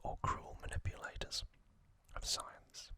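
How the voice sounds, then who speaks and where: Man, ASMR host, breathy whisper, very slow, gentle, short broken phrases, trivia commentary. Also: dry recording.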